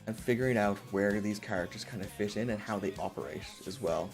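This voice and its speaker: A man's voice: fairly low pitch, quick pace, creaky, soft background music. A man streaming in a casual, rambling way, thinking aloud and putting himself down. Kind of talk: casual livestream chat.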